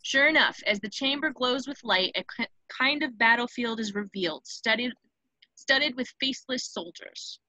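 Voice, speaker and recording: A woman's voice: a little high, normal speed, pushed and bright, dry recording, low-quality recording. A woman reading stage directions as the narrator of a scripted screenplay table read.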